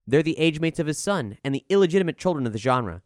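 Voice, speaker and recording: A man reading a scripted album review in a measured, articulate way with formal wording. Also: on the high side, expressive, a little mellow, no echo, studio quality.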